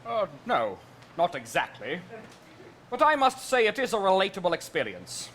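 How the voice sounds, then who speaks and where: Curt, dignified starship captain, acted by a man, forceful and wide-ranging, comedic parody stage delivery. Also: sits high, moderate pace, heavily acted. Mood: measured. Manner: formal, curt, deadpan. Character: stern, irritable, dignified male starship captain. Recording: live stage recording with clear noise.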